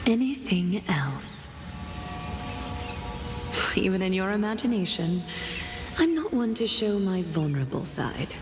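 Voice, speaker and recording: A woman voicing a proud, commanding leader in a video game, poised and measured. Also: medium pitch, very animated. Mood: dryly approving. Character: composed, proud, commanding female leader and teacher. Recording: long hall reverb, music underneath. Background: game music.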